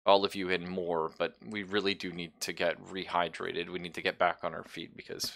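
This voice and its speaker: A man's voice: sits low, level tone, moderate pace, creaky, a bit bright, slight background noise. A man casually narrating an online tabletop game as game master, in a joking, loose way.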